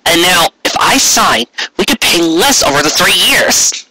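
A man's voice: high-pitched, sing-song, a little slow, pushed and bright, faint music behind, voice pushed up, full character voice, poor audio. A man voicing an earnest, anxious male cartoon pony husband, hesitant and eager to explain, in a story reading. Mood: proud, eager.

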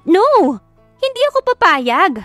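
A woman's voice: very high-pitched, sing-song, a little slow, a bit bright, dry recording, voice pushed up, exaggerated voice. A woman voicing a passionate, rebellious young heiress daughter with a Filipino accent, emphatic and pleading, in an audio drama. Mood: outraged. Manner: emphatic, pleading, switches languages. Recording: music behind the voice.

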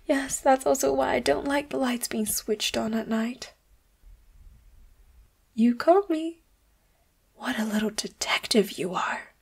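A breathy, fairly high female voice for a seductive leopard-hybrid boss in an intimate audio roleplay, played by a woman. She speaks very slowly and often slips into whispers, coy and teasing, dangerous yet tender toward the listener. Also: exaggerated voice. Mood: teasing, fond.

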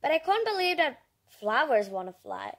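A woman's voice: high-pitched, very animated, moderate pace. A female reader reading a picture book aloud with chatty asides, in a childlike, enthusiastic, exclaiming manner. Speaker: storybook reader and host.

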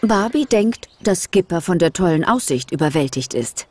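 A woman's voice: fairly low pitch, animated, moderate pace, darkish tone. A woman narrator reading a scripted children's story. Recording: music clearly under the narration.

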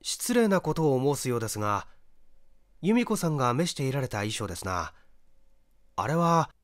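Curious gentleman sleuth, voiced by a male reader, courteous, probing, storybook dialogue, dry sound. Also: mid-range voice, sing-song, normal speed, dry recording, close to an everyday voice. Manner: polite, probing.

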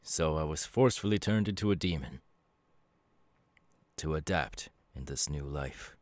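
A gentle, melancholy demon who was once human , acted by a man in an ASMR audio roleplay. The voice is extremely low and slow, close and very dry, with reflective pauses between phrases. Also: lively intonation, no room sound. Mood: calm, wistful. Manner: measured, pausing, reflective. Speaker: voice actor.

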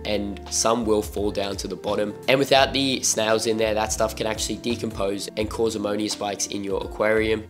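A man's voice: fairly low pitch, fairly bright tone. A young Australian man hosting an aquarium channel, talking casually to camera in a room full of fish tanks, his voice a little off as he gets over being sick. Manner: laid-back, filler-heavy ("like", "literally", "super"). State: recovering from illness, voice a bit off.

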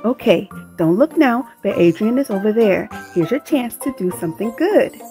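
A woman voicing a bossy, teasing cartoon teenage girl, a best friend with a brisk, sassy, encouraging manner. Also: mid-range voice, animated, warm tone, faint music behind, natural voice. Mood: pushy, teasing.

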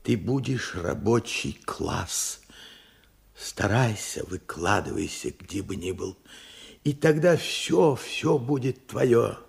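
A man's voice: fairly low pitch, animated, vocal fry, slightly rough, exaggerated voice. An actor playing an old grandfather and war veteran in a Soviet radio play, tender, fervent and dramatic. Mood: earnest, subdued. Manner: dramatic, fervent, halting delivery. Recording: vintage radio play with music underneath.

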